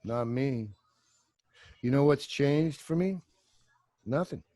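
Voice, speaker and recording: A man in his fifties with a low voice, a guest actor chatting casually, rambling and joking with plenty of swearing, on a video call with a desk mic at home. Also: takes it slowly, warm tone, dry recording. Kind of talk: casual interview chat. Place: home room. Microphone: desk microphone on video call.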